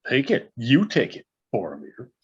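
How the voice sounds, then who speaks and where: A man's voice: medium pitch, huge pitch swings, normal speed, slightly rough, muffled recording, heavily acted. A man playing a grave, urgent wise counsellor in a fantasy table read, dramatic and portentous. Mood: challenging.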